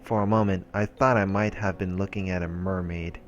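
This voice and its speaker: Male let's play host, low voice, reading story narration with casual asides over clear game music. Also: steady tone, fairly quick, highs cut off.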